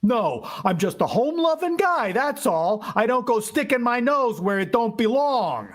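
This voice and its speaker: A man's voice: very high-pitched, sing-song, moderate pace, heavily acted. A man playing a tired, home-loving working husband in a vintage-style radio drama, complaining in plain colloquial speech. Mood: annoyed.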